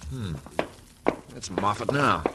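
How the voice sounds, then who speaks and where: Low-voiced, hot-tempered wronged cowboy. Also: male voice, slow, noisy background. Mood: bitter. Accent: American. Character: wronged, hot-tempered Western ex-convict husband. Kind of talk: old-time radio western acting.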